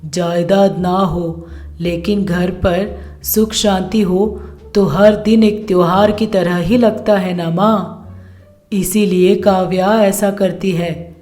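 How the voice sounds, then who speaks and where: A woman voicing a calm, gentle young married son in a storybook cartoon, reasoning patiently and philosophically. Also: lowish voice, slow, faint music behind. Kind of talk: animated storybook voice acting.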